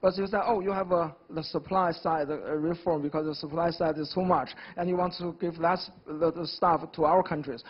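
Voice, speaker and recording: A male professor, not an English speaker, answering on a panel in halting phrases with homely analogies. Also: on the high side, fairly even intonation, slightly rough, dull audio. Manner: halting, homely food analogies, many fillers. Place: TV studio.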